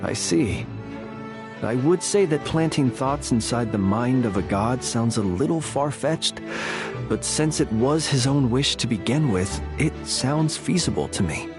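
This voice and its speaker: A man's voice: medium pitch, lively intonation, unhurried, music in the background, natural voice. A man voicing a reserved, mildly skeptical but agreeable adviser in a video game, polite and considered.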